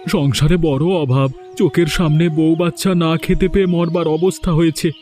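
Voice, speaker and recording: A humble, desperate village fisherman from a cartoon, acted by a man, pleading in a high voice. He speaks plaintive, deferential Bengali with a West Bengal accent, over background music. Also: steady tone, moderate pace, warm tone, natural voice. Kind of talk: cartoon voice acting.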